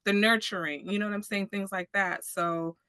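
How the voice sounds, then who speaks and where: Woman, bright and high-pitched, animated chatter, dry close sound. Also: normal speed, dry recording. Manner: animated, acts out quotes, asks "you know what I'm saying?". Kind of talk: casual chat.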